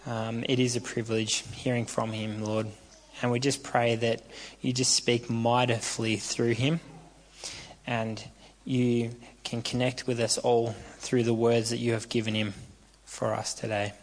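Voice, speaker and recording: A man's voice: mid-range voice, level tone, a little slow. A man leading an earnest spoken prayer before a sermon. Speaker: church member leading prayer. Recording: long hall reverb.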